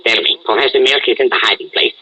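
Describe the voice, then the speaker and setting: A man's voice: mid-range voice, steady tone, fast talker, sharp tone, breathy edge, highs cut off. A man playing an imperious, fanatical German scientist villain in a 1940s-style radio serial, with a German accent and a commanding, contemptuous manner. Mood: impatient, angry. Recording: old radio broadcast recording.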